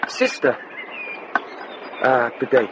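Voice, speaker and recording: A man's voice: medium pitch, sing-song. An actor playing an earnest, awkward young man in a radio drama, hesitant and apologetic. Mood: nervous, hopeful. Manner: hesitant, halting. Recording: loud noisy vehicle-like ambience behind.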